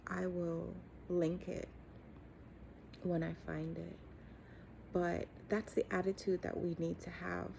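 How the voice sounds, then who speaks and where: A middle-aged woman giving an earnest, encouraging, faith-based motivational talk, speaking deliberately with frequent pauses. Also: on the low side, flat delivery, vocal fry, darkish tone, slight background noise, poor audio. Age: 40s or 50s. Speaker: coach and host giving encouragement.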